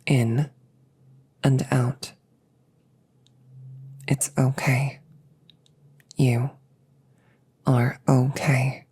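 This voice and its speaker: Woman voicing a very low, breathy, slow female android. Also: level tone, exaggerated voice. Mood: soothing. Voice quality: soft, breathy, often near a whisper. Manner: slow, measured, soothing, formal phrasing. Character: calm, protective female combat android, wounded but reassuring. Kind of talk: intimate roleplay audio drama, sleep aid.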